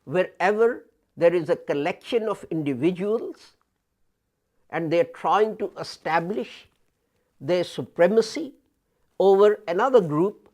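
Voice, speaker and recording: A man in his fifties giving a sermon as an imam, speaking deliberately with pauses and rising emphasis, recorded in a small studio. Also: high-pitched, expressive, darkish tone. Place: small studio before a printed backdrop. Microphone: fixed camera, head and shoulders framing.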